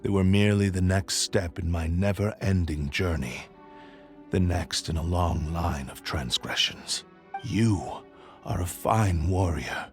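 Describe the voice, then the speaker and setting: A man voicing a remorseful, ancient cursed sword spirit in a video game, speaking in a grave, formal, measured way. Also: sits low, faint music behind. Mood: solemn.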